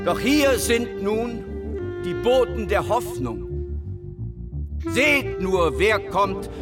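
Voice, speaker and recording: An actor playing a fiery, zealous sixteenth-century revolutionary preacher in a radio play, declaiming in a rousing, deliberate way. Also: male voice, high voice. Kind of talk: dramatic sermon in historical radio play. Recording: loud music under the voice.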